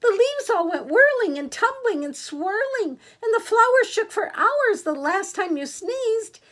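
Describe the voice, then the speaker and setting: A woman's voice: big pitch swings, unhurried, fairly bright tone, full character voice. A woman voicing a troop of frantic monkeys in a storybook, squealing and close to tears as they plead. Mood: pleading, tearful. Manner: high, squealing and tearful.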